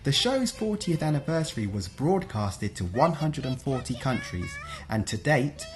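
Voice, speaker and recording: A man's voice: mid-range voice, big pitch swings, moderate pace. A man narrating a scripted list video as a presenter.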